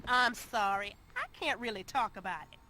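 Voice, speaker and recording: A woman's voice: on the high side, moderate pace, bright, forceful tone, a little hiss or hum, poor audio. A woman voicing a polite, grateful rescued civilian in a video game. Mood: guarded.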